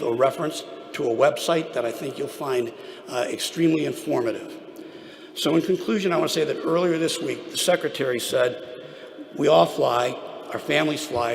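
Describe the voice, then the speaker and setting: A man giving prepared remarks as a trade association leader at a public event, with an emphatic, deliberate delivery. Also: medium pitch. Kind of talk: prepared podium speech. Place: large echoing hall at a public event. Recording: echoey hall, clear background noise.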